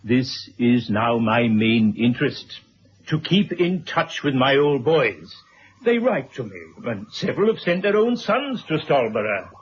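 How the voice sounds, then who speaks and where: An actor playing a genial, garrulous retired headmaster, formal and wordy, in a 1970s radio drama. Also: male voice, medium pitch, big pitch swings, a little slow, slight background noise. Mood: pointed, reminiscing. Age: older. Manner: formal, wordy, chuckling asides. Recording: dull old radio drama recording.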